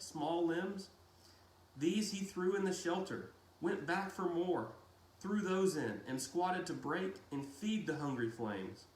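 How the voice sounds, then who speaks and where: A man reading a novel aloud as a storyteller, with deliberate, dramatic phrasing and pauses. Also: a little high. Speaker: audiobook-style reader. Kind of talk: expressive storybook narration of a novel. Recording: roomy echo with faint hiss.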